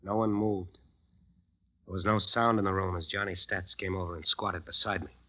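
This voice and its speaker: Intense radio narrator, dull old recording. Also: male voice, deep voice, steady tone, normal speed. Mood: hushed, grave. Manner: dramatic, intense storytelling. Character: driven, ambitious male jazz musician recounting his nightmare. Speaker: narrator and lead character. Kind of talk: 1940s-50s radio drama narration.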